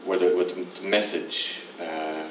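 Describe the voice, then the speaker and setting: A deep-voiced older man moderates a panel on stage, restarting his questions as he goes. The sound comes from a distant mic in a reverberant hall with a steady noise floor. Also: a little slow. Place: stage in a dim theatre hall. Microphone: far camera. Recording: echoey hall from far off.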